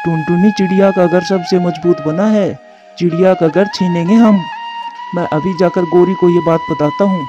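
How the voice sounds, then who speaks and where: A man's voice: high-pitched, steady tone, normal speed, highs cut off, over music, close to an everyday voice. A man voicing a henpecked, grumbling crow husband in a Hindi cartoon. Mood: compliant, plotting.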